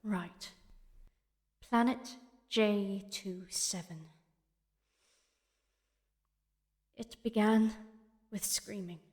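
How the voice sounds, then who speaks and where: A woman playing a young, rattled, dutiful female space marine crewman in an audio drama, speaking haltingly. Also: mid-range voice, fairly even intonation, a little mellow, natural voice. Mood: shaken, weary. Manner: halting, stammering under pressure. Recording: long reverb, music underneath.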